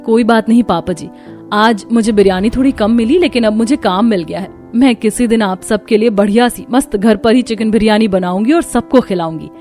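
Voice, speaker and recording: A woman's voice: fairly high pitch, a little fast, mellow, faint music behind, lightly acted. A woman voicing a kind, humble, hardworking young village mother in a cartoon. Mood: humble, reassuring.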